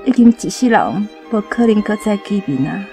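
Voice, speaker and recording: A woman's voice: mid-range voice, animated, moderate pace, creaky, mellow, faint music behind. A woman with a Taiwanese accent giving dramatic first-person narration in a radio drama.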